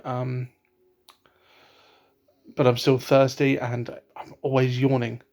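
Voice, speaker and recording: A man's voice: mid-range voice, monotone, slow pace, gravelly, darkish tone. A young man from England talking at home in a casual vlog, hesitant, with many ums and restarts. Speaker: vlogger. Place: at home, indoors. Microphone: laptop webcam.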